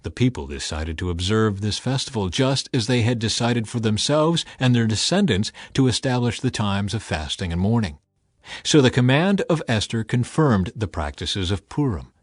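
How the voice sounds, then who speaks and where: A man's voice: lowish voice, expressive, moderate pace, no room sound. A man reading scripture as a scripted, dramatized audiobook narrator. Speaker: Bible narrator. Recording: music behind a dry studio voice.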